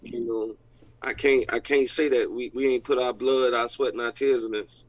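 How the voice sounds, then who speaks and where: Excited football coach. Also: male voice, medium pitch, moderate pace. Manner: excited, repetitive, informal phrasing. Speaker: head coach and team owner. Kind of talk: casual chat. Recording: dull lo-fi sound.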